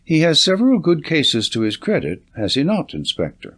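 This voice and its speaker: A man's voice: on the high side, huge pitch swings, moderate pace, fairly bright tone, full character voice. A man voicing a confident, commanding detective in an audiobook, speaking in an assured, articulate, lecturing way. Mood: brisk, assured.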